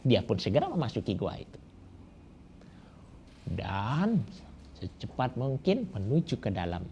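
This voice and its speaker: Slow, lively-voiced male storyteller. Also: medium pitch. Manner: slow, drawn-out, with rhetorical questions. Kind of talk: folk tale told to children. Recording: dull lo-fi recording with faint hiss.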